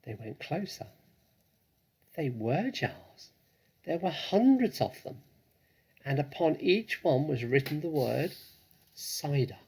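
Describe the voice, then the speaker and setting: A man reading a children's story aloud as an expressive narrator, with deliberate, dramatic phrasing. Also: a little high, breathy edge. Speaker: story reader.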